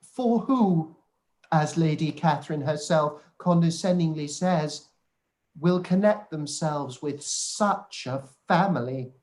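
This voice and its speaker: Pompous period-drama clergyman, acted out: slow delivery, fawning, sanctimonious. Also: mid-range voice, a little mellow, close to an everyday voice. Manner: sanctimonious, halting when flustered. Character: pompous, obsequious male clergyman cousin. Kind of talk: live-read period radio play.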